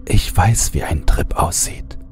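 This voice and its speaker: A man narrating a scripted horror audiobook in a deliberate, suspenseful manner, sometimes hushed. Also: soft background music.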